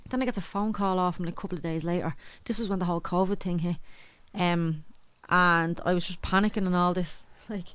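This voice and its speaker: Smooth low-voiced Dublin woman telling stories. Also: fairly even intonation, a little fast, hardly any pauses, no room sound. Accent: Irish, Dublin. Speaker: podcast speaker telling personal stories. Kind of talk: casual chat, anecdotes.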